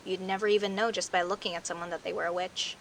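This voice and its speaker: A woman's voice: fairly low pitch, level tone, a bit bright. A woman playing a friendly, teasing werecat neighbor in an audio roleplay, talking conversationally and straight to the listener. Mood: amused, explaining. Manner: teasing, indignant, conversational with sighs and pauses. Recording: faint hiss and rain noise. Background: rain ambience.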